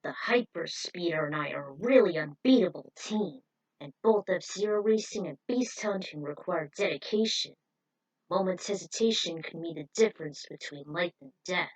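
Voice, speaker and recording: A woman's voice: fairly low pitch, normal speed, close to an everyday voice, rough recording. A woman voicing a stern, proud male beast hunter in a read-aloud story, with an emphatic, determined delivery. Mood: proud, firm.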